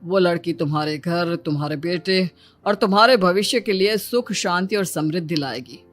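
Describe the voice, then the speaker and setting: A woman's voice: on the low side, normal speed, faint music behind, close to an everyday voice. Solemn priest played by a woman, distant timbre.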